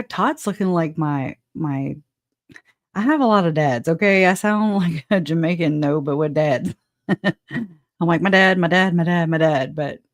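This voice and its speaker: A woman's voice: sits low, no room sound, studio quality. A woman hosting a livestream, chatting casually and rambling, with frequent restarts and laughter. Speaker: livestream host.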